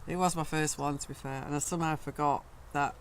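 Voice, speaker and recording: A woman vlogging casually from her garden greenhouse, her voice croaky after a cold, chatting in a rambling way with frequent ums. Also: deep voice, fairly even intonation, fast talker, slight background noise. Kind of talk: casual gardening vlog chat.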